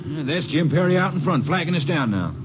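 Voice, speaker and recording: Male actor as a friendly sheriff, fairly high voice, hissy old radio play. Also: lively intonation, fairly quick, lightly acted. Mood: easygoing. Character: helpful small-town sheriff man. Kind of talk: 1950s radio drama acting. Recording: dull, noisy 1950s radio broadcast.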